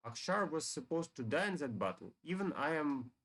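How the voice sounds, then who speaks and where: A man's voice: fairly high pitch, sing-song, normal speed, a bit bright, full character voice. A man voicing a scheming, taunting necromancer villain from a fantasy game, with grandiose phrasing. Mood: gloating.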